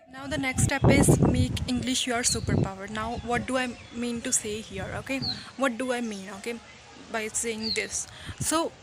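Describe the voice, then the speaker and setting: A woman whose first language is Punjabi gives a chatty English lesson in a fairly high voice. She keeps checking in with the listener and stops to explain each idiom. Steady background noise runs under the recording. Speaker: English teacher. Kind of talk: casual spoken English lesson.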